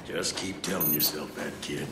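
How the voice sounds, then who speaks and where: An American actor playing a gruff, cocky, middle-aged CIA field agent in a video game, folksy and condescending. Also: male voice, a bass voice, fairly even intonation, moderate pace, heavy background noise. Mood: smug, assured. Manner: folksy, condescending, tough-talking. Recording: echoey game scene with battle ambience.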